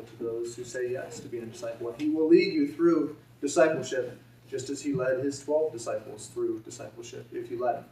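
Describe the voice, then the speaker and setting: A young man, a youth pastor, giving a relaxed, friendly talk to a congregation. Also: mid-range voice, slight background noise. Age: young adult. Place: church sanctuary. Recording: echoey church from a distance.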